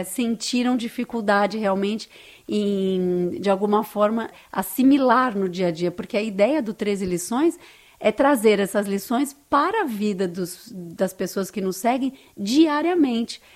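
A woman's voice: fairly high pitch, darkish tone. A woman with a Brazilian Portuguese accent, a guest answering interview questions in an earnest, enthusiastic way that is often tagged with little questions.

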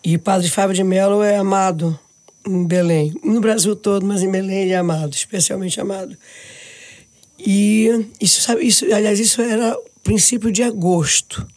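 A woman's voice: mid-range voice, fairly even intonation, normal speed. A woman from Pará with a Brazilian accent, a guest in an interview, telling stories about her faith with feeling and many restarts. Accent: Brazilian Portuguese. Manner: warm, devout, emotional storytelling with many restarts. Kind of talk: casual interview talk.

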